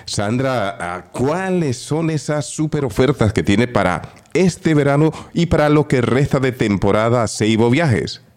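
A man co-hosting a morning radio show, in a lively, laughing promotional manner. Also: mid-range voice, a little slow, hardly any pauses. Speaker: radio co-host.

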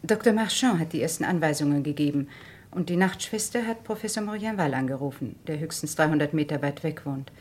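A woman acting a composed, guarded doctor under questioning in a German radio crime drama, speaking in a measured, precise way. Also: sits low, animated, darkish tone. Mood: factual, tense.

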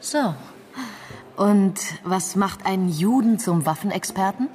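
A woman's voice: medium pitch, animated, a little slow, a little mellow, close to an everyday voice. An actress plays a provocative woman journalist in a radio drama. Her mid-range voice presses with pointed questions, over faint music. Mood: probing. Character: small, probing, provocative female journalist. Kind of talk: radio crime drama acting.

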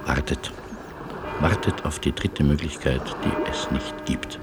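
An actor playing a world-weary, philosophical man in a German radio play, measured, resigned and gently ironic. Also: a bass voice, over loud music. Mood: quietly ironic. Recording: noisy radio play recording.

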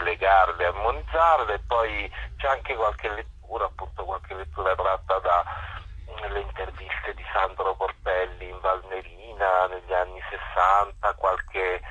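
A man, a musician being interviewed on radio, explaining things in a halting, thoughtful way. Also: low voice, sharp tone, breathy edge. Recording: muffled, band-limited phone-like radio sound.

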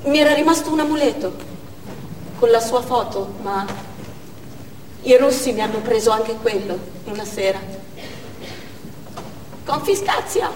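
An actress playing a grieving young Chechen war widow in a stage monologue, speaking in stark, halting short phrases. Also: female voice, a little high, expressive, darkish tone, recorded from a distance. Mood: harsh mimicry. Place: theatre stage, distant microphone. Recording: echoey theatre hall from afar, noisy.

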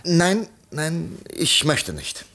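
An actor playing a troubled, stubborn wealthy gentleman in a halting, emotional 1950s radio drama style. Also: fairly high pitch, huge pitch swings, exaggerated voice. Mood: reluctant, stubborn. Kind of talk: 1950s German radio play acting. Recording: noisy vintage 1950s radio recording.

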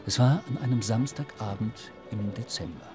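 A man's voice: on the low side, normal speed, lightly acted. A man playing a wry, brooding seafarer who narrates his own adventure in a literary radio drama. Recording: clear music behind the voice.

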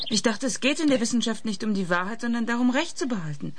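A woman's voice: fairly high pitch, expressive, fast, close to an everyday voice. An actress as a sceptical woman interviewer, noisy background. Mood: sceptical, challenging. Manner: pointed, challenging questioning. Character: sceptical, challenging female interviewer. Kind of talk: radio play dialogue.

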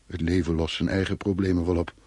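An actor playing an anxious, irritable man fleeing war in a radio play, with a husky voice. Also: low voice, little pitch movement, fast talker, a little mellow, no room sound. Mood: brooding, dreamlike. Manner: agitated, commanding, brooding when narrating. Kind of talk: Dutch radio play acting.

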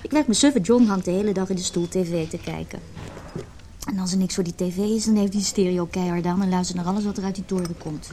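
A woman's voice: on the low side, fast, dark tone, lightly acted. An actress playing a brash, cynical woman in her early thirties in a Dutch radio play, exclaiming, teasing and scornful. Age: 30s. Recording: radio play with clear background noise.

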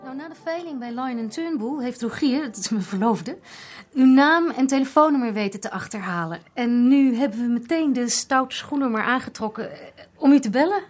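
An actress playing a friendly, inquisitive young student in a radio drama, with a polite, conversational manner. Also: female voice, high-pitched, lively intonation, fairly quick, soft background music. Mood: polite, curious. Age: young adult.

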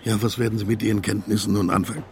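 Stern army political officer, low gravelly voice, officious, patronizing, radio drama, faint background noise. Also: male voice, little pitch movement, racing, darkish tone. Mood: needling. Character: stern, condescending male East German army political officer.

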